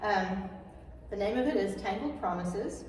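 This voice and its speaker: An older woman with a soft voice, answering casually as the author guest in an interview. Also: mid-range voice, lively intonation, unhurried. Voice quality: soft-spoken. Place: echoey room at a writer's retreat, background noise. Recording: echoey distant room mic with faint noise.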